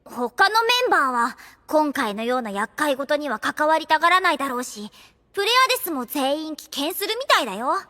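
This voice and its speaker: A woman's voice: sits high, very animated, brisk, pushed and bright, long reverb tail, full character voice. A woman playing a young tomboy girl in an anime audio drama, blunt and casual, with hesitant protests.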